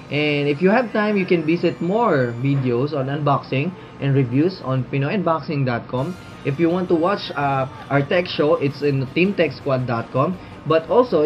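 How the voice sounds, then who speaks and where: Filipino man doing a relaxed gadget review. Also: a little high, highs cut off. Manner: relaxed Taglish code-switching, frequent "uh" and "so". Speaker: tech reviewer host. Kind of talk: casual product review and demo. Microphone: headset built-in mic.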